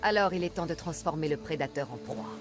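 An actress playing a tough, self-assured female mercenary in a video game dub, speaking in a measured, firm way. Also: low voice, music in the background, natural voice. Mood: determined. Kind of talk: dubbed video game dialogue.

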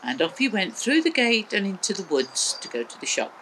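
An older woman with an English accent tells a children's story in simple phrases. Her intonation is very lively. She is outdoors in a garden, with noise audible behind her. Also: mid-range voice, brisk, poor audio. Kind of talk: children's storytelling, talking to small listeners. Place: outdoors in a small back garden.

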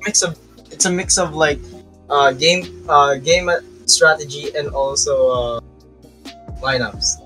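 A man's voice: a little high, steady tone, slow pace, pushed and bright, faint music behind. A man joining as guest, talking bluntly and teasing in casual chat, swearing offhand. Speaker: guest co-host.

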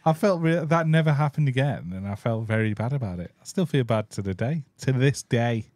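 A man in casual podcast banter, throwing in jokes and quick quips. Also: mid-range voice, lively intonation, background noise. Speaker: podcast co-host.

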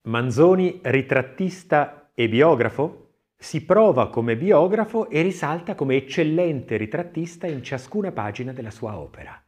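A man reads literature as a narrator, in a declamatory, theatrical manner that moves between swelling and hushed phrases. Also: fairly high pitch, lively intonation, a little slow. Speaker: audiobook narrator. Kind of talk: dramatic literary reading of poetry and scripted narration.

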